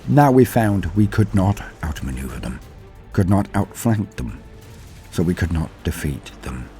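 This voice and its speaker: Grim veteran sci-fi war officer, played by a male narrator: low-pitched, solemn, dramatic pauses, faint music underneath. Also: very animated, vocal fry, exaggerated voice. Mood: grim, awed. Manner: solemn, measured, dramatic pauses with hushed phrase endings. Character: grim, doomed male super-soldier officer recalling battle. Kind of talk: dramatic first-person scripted war-story narration.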